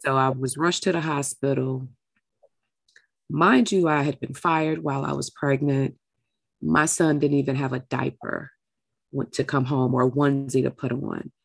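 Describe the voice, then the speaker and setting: A woman's voice: very deep voice, fairly even intonation, moderate pace, gravelly, breathy edge, no echo. A woman hosting an interview show in a candid, heartfelt, familiar and affectionate conversational way.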